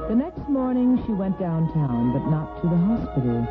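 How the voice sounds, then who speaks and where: A woman's voice: fairly low pitch, big pitch swings, moderate pace. A woman narrating a 1950s radio soap opera in scripted, dramatic storytelling style. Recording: tinny old radio broadcast with music.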